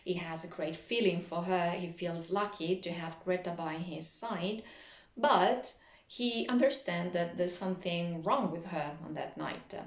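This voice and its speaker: Woman, literature teacher, explanatory lecture, slightly low pitch, didactic, hesitant phrasing, echoey room.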